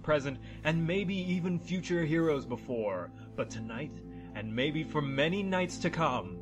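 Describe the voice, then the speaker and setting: A man playing a grandiose tavern storyteller who announces heroic legends in a declamatory, showmanlike way. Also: a little high.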